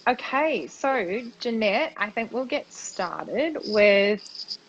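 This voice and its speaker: A woman hosting a live interview, chatty and upbeat with a slightly croaky voice and many fillers. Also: medium pitch, animated, very slow, dull audio, slight background noise. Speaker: host, business mentor for health coaches. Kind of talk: live-stream interview chat.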